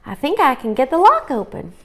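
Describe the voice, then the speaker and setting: A woman's voice: high voice, wildly swooping pitch, fairly quick, voice pushed up. A woman reader acts out a young sister bunny from a children's storybook. The voice is raised well above an adult's, earnest and emphatic, full of loyal determination. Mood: firm, reassuring. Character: young girl rabbit, devoted, determined little sister.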